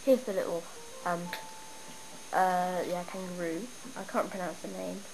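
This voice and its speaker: A woman's voice: on the low side, measured pace, gravelly. Stuffy-nosed girl rambling thru her game town, noisy home recording. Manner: chatty, rambling, excitable. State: stuffy from a cold. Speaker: gamer showing her town. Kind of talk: casual game walkthrough. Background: steady background noise.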